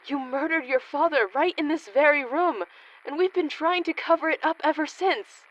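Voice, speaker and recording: A woman acts a long-suffering woman of the family in a comic radio drama, patient but condescending. Her voice is high and matter-of-fact, with a slightly muffled, lo-fi sound. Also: moderate pace, lightly acted. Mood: weary, matter-of-fact. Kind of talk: comedic radio play acting.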